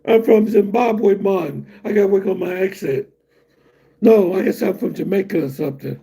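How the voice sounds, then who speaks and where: A man's voice: high-pitched, normal speed, creaky, dark tone, slightly rough. A middle-aged man from the United States hosting a casual livestream and rambling loosely to his viewers. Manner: loose, rambling, laughs at his own jokes. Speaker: livestream host.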